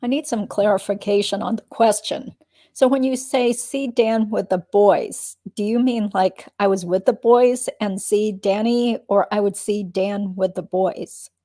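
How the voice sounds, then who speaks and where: Woman playing a deposition witness, dark relaxed timbre, medium pitch, guarded, measured, noncommittal. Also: natural voice. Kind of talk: dramatic reading of a legal deposition.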